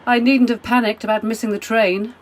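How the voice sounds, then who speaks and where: A woman's voice: level tone, brisk, close to an everyday voice. A woman playing a polite, talkative, slightly apologetic British middle-class traveller in a radio play. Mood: friendly. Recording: faint noise, train rumble.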